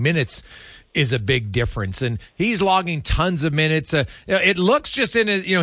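Higher-voiced male sports radio host. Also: bright, forceful tone, no echo. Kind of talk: casual hockey interview.

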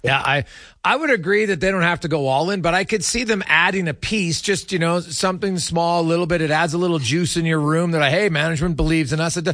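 A man's voice: on the high side, fast talker, a bit bright, no echo, studio quality. A man hosting a sports show, chatting about hockey in an informal, chummy, conversational way. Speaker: sports radio host. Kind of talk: casual hockey talk.

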